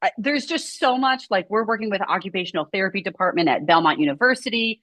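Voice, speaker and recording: A woman's voice: mid-range voice, expressive, fast talker, a bit bright, dry recording. A woman who runs a scoliosis movement studio, chatting casually and rambling with lots of "like" fillers. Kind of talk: casual video chat conversation.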